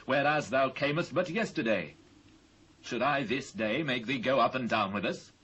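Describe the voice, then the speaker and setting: A British man playing a grave, devout fugitive king in a dramatised scripture, speaking solemn, measured archaic English. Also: mid-range voice, expressive, a bit bright, husky. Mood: grave, kindly. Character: King David, grave sorrowful fugitive monarch, commanding and devout. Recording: faint hiss and ambience, old cassette.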